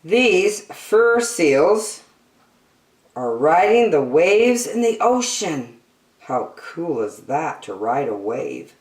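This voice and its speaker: A woman's voice: low voice, big pitch swings, slow, roomy sound. A woman hosting story time, reading a picture book aloud to young children expressively, with chatty asides and questions.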